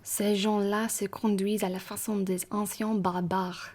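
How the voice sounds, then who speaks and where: A husky, mid-pitched female voice from an actress as a well-bred traveller in a radio play. The delivery is polite and reasonable, with formal, measured phrasing. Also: flat delivery, darkish tone, lightly acted.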